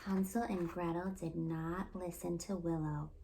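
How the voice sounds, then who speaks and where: Unhurried woman reading a children's storybook. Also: on the low side, slight background noise, rough recording. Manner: expressive, deliberate storytelling delivery. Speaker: storytime host and reader. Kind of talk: children's picture book read-aloud, scripted narration.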